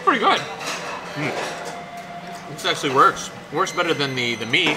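A man's voice: on the high side, big pitch swings, a little slow. Man, fifties, food vlog host, playful chatter, close handheld camera, noisy background. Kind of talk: casual food tasting vlog. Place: small sushi restaurant booth, indoors. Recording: noisy restaurant room.

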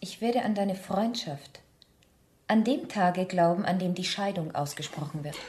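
An actress playing a composed, candid wife in a German radio drama, speaking quietly and deliberately, with restraint. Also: female voice, fairly low pitch, animated. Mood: cool, firm. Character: composed, candid wife leaving her rich husband.

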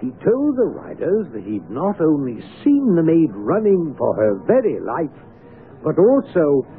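Excitable, high-voiced country doctor. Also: male voice, gravelly, exaggerated voice. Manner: formal, theatrical storytelling. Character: earnest, excitable male country doctor recounting a dreadful legend. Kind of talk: radio drama acting. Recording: tinny, band-limited radio broadcast recording.

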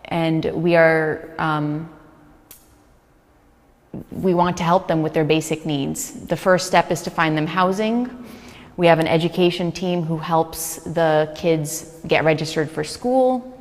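An earnest woman in her thirties, whose first language is Hungarian, talks in a chatty, easy way, with plenty of ums. Her voice sits a little low. She wears a lapel mic in a large gallery room with a long reverb. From United States. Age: 30s. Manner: earnest, chatty, quick laughs, many fillers. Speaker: refugee services manager. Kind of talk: interview answers. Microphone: small lapel mic.

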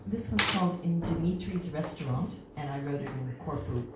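An older woman reading her own poem at an open mic, wistful and lifting into bright emphasis on the quoted lines. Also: sits low, normal speed, heavy background noise. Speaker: poet. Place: small stage in an indoor venue. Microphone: stand mic, filmed from a far camera. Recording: echoey room from far off.

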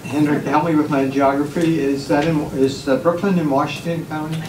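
A man's voice: a little high, level tone, fairly quick. A man informally chairing a public hearing, speaking to witnesses and members. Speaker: committee chair. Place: government committee hearing room. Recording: distant, echoey room with loud people noise.

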